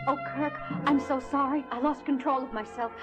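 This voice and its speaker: Actress as a high-voiced, remorseful wife. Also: female voice, fairly even intonation, normal speed, natural voice. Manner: pleading, melodramatic. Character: remorseful, fragile fiancée turned wife. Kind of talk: 1970s superhero audio drama acting. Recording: dull 1970s record with music.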